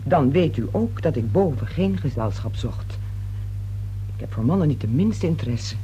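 A nervous woman being questioned in a radio drama. Her mellow voice rises and dips restlessly as she hesitates, then protests and insists. A light hiss and hum sit under the recording. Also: deep voice, lively intonation, moderate pace, low-quality recording. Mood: insistent, uneasy. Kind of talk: radio play acting.

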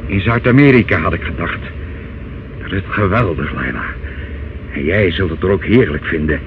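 An actor in a Dutch radio play, playing a self-satisfied, menacing older uncle who wheedles and talks down in a hesitant, smooth way. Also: male voice, deep voice, animated, moderate pace. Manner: wheedling, hesitant, condescending, calls listener child. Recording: dull, noisy old radio recording.

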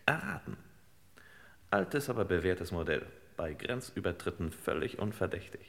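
An actor playing a hard-boiled, sardonic detective boss in an old radio crime play, commanding and sarcastically florid, in a reverberant space. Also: male voice, low-pitched, big pitch swings, normal speed, vocal fry, fairly bright tone, full character voice.

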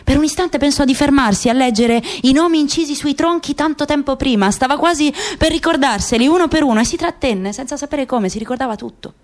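Narratrice italiana di radioteatro dalla voce acuta. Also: female voice, high-pitched, a little fast, hardly any pauses. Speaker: narrator, actress. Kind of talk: dramatic literary reading for radio theatre.

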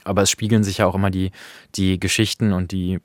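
A man's voice: low voice, flat delivery, fast talker, no echo. A man talks as co-host of a radio discussion. He explains reflectively, feeling for the right words as he goes. The sound is close and dry, with no room around him. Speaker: podcast co-host. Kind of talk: conversational radio discussion of audio drama. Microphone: close studio microphone.

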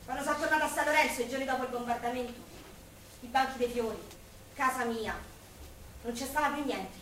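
A high, bright Roman woman of the people in the 1940s, passionate and theatrical. The voice carries from a distance in a very echoey theatre, with faint noise. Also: fairly quick. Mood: sorrowful, narrating. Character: working-class Roman woman of the wartime forties, passionate. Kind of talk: Italian stage drama acting.

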